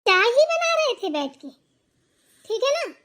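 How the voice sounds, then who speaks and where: A woman voicing a quick-tempered young daughter-in-law in a family cartoon, arguing and complaining with sarcasm. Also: very high voice, sing-song, a bit bright, full character voice. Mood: aggrieved. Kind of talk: amateur Hindi family cartoon dialogue.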